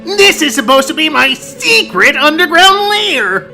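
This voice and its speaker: Very high, melodramatic petty villain. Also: female voice, expressive, unhurried. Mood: indignant. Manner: theatrical, blustering, easily flustered. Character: scheming, melodramatic book-thieving scholar, indignant and hammy villain. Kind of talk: comedic fan-dub voice acting. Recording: music behind the voice.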